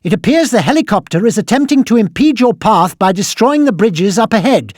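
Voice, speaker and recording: An actor playing an exasperated older British gadget quartermaster in a video game, formal, precise and scolding. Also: male voice, sits high, big pitch swings, fairly quick, a little mellow, no room sound, clean studio sound. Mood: officious briefing. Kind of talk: video game mission briefing.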